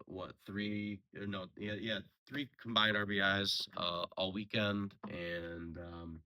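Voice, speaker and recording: A young man giving a casual, rambling solo sports recap, a little hoarse from a sore throat and full of fillers and restarts. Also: low voice, bright, forceful tone, low-quality recording. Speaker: sports podcast host.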